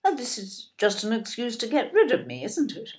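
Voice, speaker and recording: An actress playing a fussing, incredulous older British wife in an audio play, fretful and full of exclamation. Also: female voice, a little high, huge pitch swings, normal speed. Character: fussing, indignant older wife, doubting her husband.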